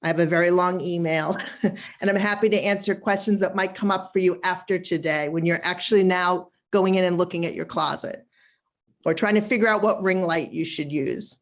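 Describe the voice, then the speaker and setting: A woman's voice: fairly low pitch, moderate pace. A woman with a Boston accent speaks as a wardrobe stylist on a video call. Her delivery is chatty and emphatic, and she laughs easily. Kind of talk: casual live webinar talk.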